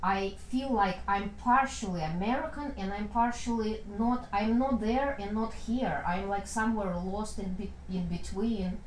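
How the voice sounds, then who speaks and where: A woman speaks haltingly, often stopping and restarting her sentences as she works through memories in a role-play. Her voice sits at a middle pitch and carries a roomy echo, with a faint hiss behind it. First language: Russian. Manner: halting, hesitant, many restarts. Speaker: psychodrama protagonist. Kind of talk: emotional role-play exploration.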